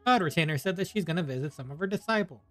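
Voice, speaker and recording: A man's voice: on the high side, lively intonation, racing. A man giving casual playthrough commentary as a video game streamer, with wry, teasing asides.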